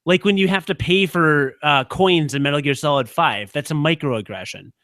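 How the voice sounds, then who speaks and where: A man's voice: on the high side, normal speed, fairly bright tone, no room sound. A man co-hosting a comedic podcast with casual banter, sounding stoned, rambling and slangy. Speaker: podcast co-host.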